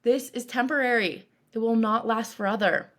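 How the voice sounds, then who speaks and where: Higher-pitched woman guiding a calm meditation. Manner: calm, instructive, reassuring, deliberate phrasing. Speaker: binge eating coach, meditation guide. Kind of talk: guided meditation, scripted narration.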